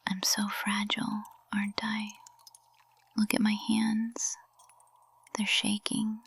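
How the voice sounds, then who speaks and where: A woman's voice: medium pitch, completely flat delivery, heavily acted. A woman playing a composed witch professor turned tender and possessive, whispering intimately in an ASMR roleplay, close to the microphone. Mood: vulnerable, confessing. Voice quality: breathy whisper. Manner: slow, hushed, intimate delivery.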